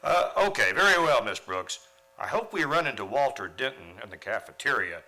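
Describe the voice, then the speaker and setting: A man's voice: mid-range voice, sing-song, a little fast, sharp tone, low-quality recording. A man playing an earnest, mild-mannered biology teacher in an old-time radio comedy. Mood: polite, puzzled.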